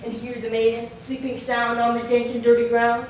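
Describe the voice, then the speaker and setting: A woman's voice: fairly high pitch, completely flat delivery, normal speed, lightly acted. A woman playing a mischievous fairy servant in a Shakespearean stage play, chanting rhymed verse rhythmically. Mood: mischievous, incantatory. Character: Puck, mischievous fairy servant casting a love charm. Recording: very echoey hall from far off, faint noise.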